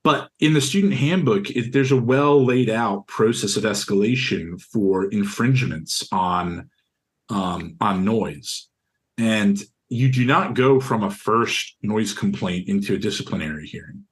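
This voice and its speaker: The deep, carrying voice of a young man you would hear in a documentary interview, earnestly and haltingly going back over what happened to him. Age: young adult. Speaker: interviewee, university student. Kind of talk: recounting a personal experience in an interview.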